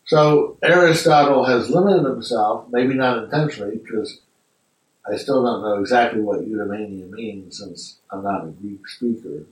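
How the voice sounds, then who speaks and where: Man, class discussion leader, reflective and unhurried, mic set well back in a roomy hall. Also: lowish voice. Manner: reflective, thinking aloud with restarts. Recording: echoey room, mic far off.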